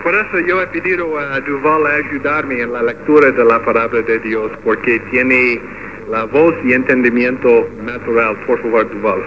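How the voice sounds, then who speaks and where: Male pastor, hesitant Spanish, middle pitch, dull noisy recording. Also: steady tone. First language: English. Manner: halting Spanish with restarts. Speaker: radio pastor. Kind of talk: church ceremony speech. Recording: muffled with background noise.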